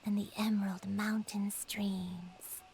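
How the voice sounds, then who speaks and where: A woman playing a gentle, forgetful old granny in a game, with a creaky voice and a wistful, unhurried manner. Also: mid-range voice, level tone, heavy background noise. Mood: dreamy. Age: older. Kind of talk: game voice acting.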